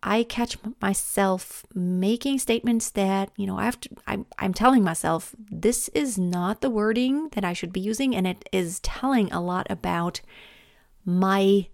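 A woman's voice: mid-range voice, measured pace, vocal fry, dry recording, clean studio sound. German-accented woman musing on a podcast. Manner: thoughtful, stumbles and restarts often. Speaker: podcast host. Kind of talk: reflective solo podcast talk.

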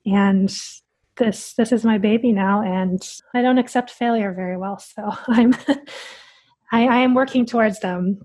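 A woman's voice: medium pitch, unhurried, a little mellow. A woman speaking as a dietitian guest on a podcast, answering in a direct, earnest way.

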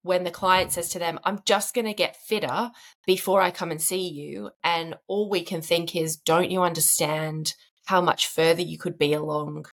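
A woman hosting a relaxed podcast interview. Her voice sits low for a woman, and she sounds earnest and encouraging as she talks. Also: normal speed. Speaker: podcast host. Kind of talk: casual interview chat.

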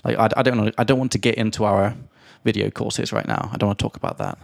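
A man hosting a casual podcast, explaining English grammar in a lively way and reading out example sentences. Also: fairly low pitch, fast, vocal fry, dry recording, clean studio sound. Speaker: podcast host and English teacher.

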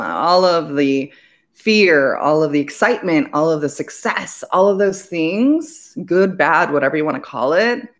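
A woman raised in Belgium, a business owner speaking as a guest in an interview, talking earnestly in long flowing sentences. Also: lowish voice. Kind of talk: conversational interview.

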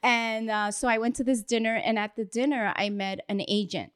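A woman's voice: on the high side, steady tone, moderate pace, clear, resonant tone, no echo, studio quality. A middle-aged woman from New York, guesting on a podcast, chatting bubbly and laughing often. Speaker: actress and guest. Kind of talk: casual podcast chat.

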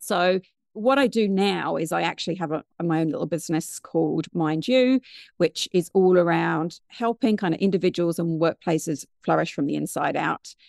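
A British woman in her fifties from the UK, a guest telling her story in a chatty, animated, digressive way. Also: lowish voice, moderate pace, vocal fry, dry recording, clean studio sound. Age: 50s. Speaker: guest, mindfulness business founder. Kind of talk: conversational interview storytelling.

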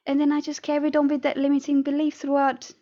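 A woman talks through her story as an interview guest, earnest and a little halting, with small laughs between thoughts. Her voice sits fairly high. The sound is dull and lo-fi, like a remote call. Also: completely flat delivery. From Hungary. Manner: earnest, with frequent fillers and soft laughs. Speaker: guest, NLP trainer and hypnotherapist.

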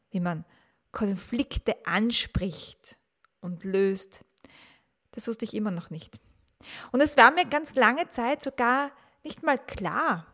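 Woman host, 40s, unhurried reflective storyteller, solo podcast. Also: mid-range voice. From grew up in the 1980s and 1990s. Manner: calm, personal storytelling with rhetorical questions. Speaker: podcast host, teacher and mother.

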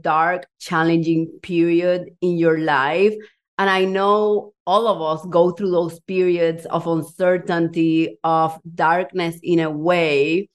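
A woman hosting a podcast as a coach, interviewing a guest in an enthusiastic, effusive way. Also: on the low side. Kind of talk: warm interview chat.

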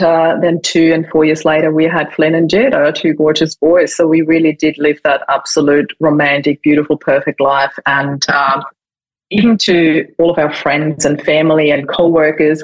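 A middle-aged woman from Austria, a podcast guest on a remote call, telling her life story in an animated way and acting out quotes. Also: low voice, rough recording. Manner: animated, laughs often, acts out quotes. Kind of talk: conversational storytelling.

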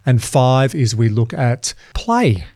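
A man hosting a podcast, coaching his guests in a chatty, upbeat way full of quick asides. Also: mid-range voice, very animated, a little mellow, no room sound, studio quality. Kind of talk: casual coaching conversation.

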